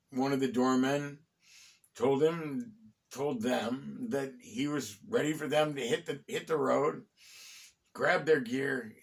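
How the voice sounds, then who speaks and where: A middle-aged American man hosting a conversational, storytelling history talk, phrasing deliberately with pauses and dropping in wry asides. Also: mid-range voice, creaky. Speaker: host and storyteller of a local history series. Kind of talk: conversational bedtime-story style history narration.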